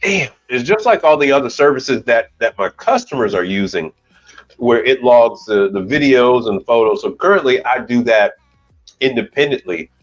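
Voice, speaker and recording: A man's voice: mid-range voice, lively intonation, normal speed. A man in his forties, a commercial appliance technician hosting a casual stream, rambling and swearing lightly as he restarts his sentences. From United States. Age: 40s. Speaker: commercial appliance repair technician and channel host. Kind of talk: casual live-stream chat. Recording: dull lo-fi sound, faint music behind.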